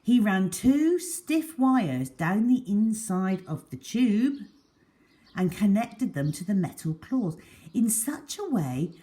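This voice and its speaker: Slow, expressive woman storyteller. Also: medium pitch. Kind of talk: expressive children's story reading.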